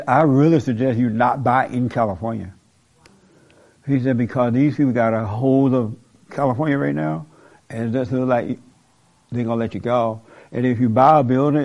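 A man's voice: medium pitch, darkish tone. A man hosting a talk show, preaching in an emphatic, repetitive way with the odd joke and laugh. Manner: emphatic, repeats key lines, jokes and laughs. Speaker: host of a call-in talk show. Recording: dull lo-fi audio.

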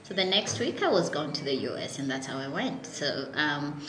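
A woman's voice: low-pitched, lively intonation, moderate pace, reverberant, noisy background. A Kenyan woman in her forties, a company founder telling her story in a casual interview, laughing often. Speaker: founder and CEO guest.

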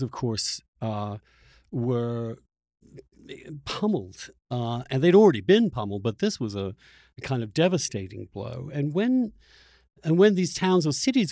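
A man's voice: mid-range voice, sing-song, moderate pace, dark tone, dry recording, clean studio sound. A man from Europe hosting an interview podcast, talking conversationally and reflectively as he builds up his points. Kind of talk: conversational discussion.

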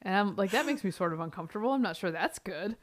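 A woman co-hosting a podcast in casual chat, a chatty, self-deprecating storyteller with a deeper register voice. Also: expressive, fast talker. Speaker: podcast co-host.

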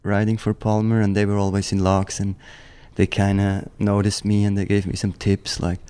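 Swiss guy in his 30s, low flat voice, rambly relaxed chat. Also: normal speed, smooth. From German-speaking Switzerland. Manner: relaxed, rambling, many restarts and "like". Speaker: guest, professional snowboarder. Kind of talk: casual interview chat.

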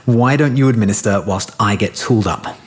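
An actor playing a friendly, concerned lab scientist in an audio drama, speaking a little tentatively. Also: male voice, on the low side, a little fast, husky. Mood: easygoing.

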